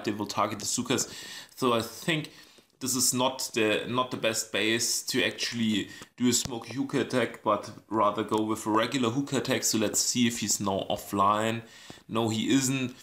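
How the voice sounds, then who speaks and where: A man's voice: fairly low pitch, fairly bright tone. Scratchy-voiced man thinking aloud over gameplay. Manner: thinks aloud, hesitant with many ums. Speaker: gaming channel host. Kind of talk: casual strategy game commentary.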